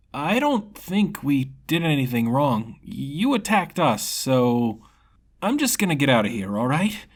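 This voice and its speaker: A narrator voicing an easygoing young swordsman hero with a casual, hesitant, apologetic way of talking in an animated fantasy story. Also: medium pitch, voice pushed up, exaggerated voice.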